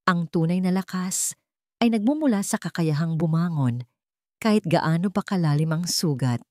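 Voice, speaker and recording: A woman's voice: lowish voice, expressive, moderate pace, mellow, no echo, clean studio sound, close to an everyday voice. A woman narrator voicing a resilient grown daughter in a story, speaking in a solemn, heartfelt, respectful way. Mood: solemn, resolute. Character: resilient grown daughter, earnest, tender and grateful.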